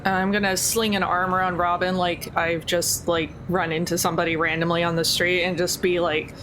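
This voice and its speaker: Female player, lower pitch, chatty, plans aloud, noisy recording. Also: flat delivery, normal speed. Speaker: tabletop game player. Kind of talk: casual play talk. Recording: noisy background hiss.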